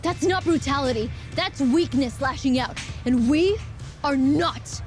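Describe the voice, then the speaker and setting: A woman's voice: sits high, unhurried, darkish tone, soft background music. A woman playing a principled, defiant young wrestler in a video game cutscene, speaking with earnest emphasis. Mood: firm, impassioned.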